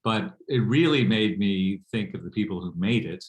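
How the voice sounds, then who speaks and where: Casting: older man, lowish register, reflective and halting, speaking on an online panel. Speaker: panel guest.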